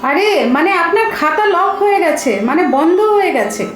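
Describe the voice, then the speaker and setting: A woman's voice: extremely high pitch, animated. A woman acting in an audio comedy as a brusque, easily exasperated bank clerk, speaking. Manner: brisk, scolding, exasperated. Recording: roomy echo, faint music.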